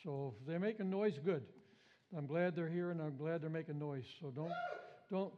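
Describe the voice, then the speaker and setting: A man's voice: fairly high pitch, moderate pace, dull audio, background noise. A man preaching an Easter sermon as pastor, with a big voice, emphatic and repeating his phrases. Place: church sanctuary.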